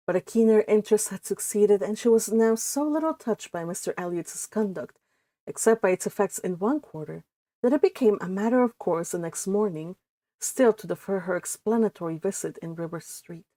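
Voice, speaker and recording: A woman hosting a book read-along, moving between casual, chatty talk and expressive reading aloud, with informal asides. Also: mid-range voice, moderate pace, dry recording. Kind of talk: casual livestream chat and expressive audiobook-style reading aloud.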